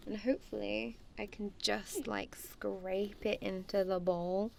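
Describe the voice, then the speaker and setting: A woman's voice: medium pitch, steady tone, measured pace, creaky, a little mellow, a little hiss or hum. A woman doing a friendly, goofy ASMR roleplay as casual one-sided chat, playful and giggly, with a self-deprecating, apologetic manner.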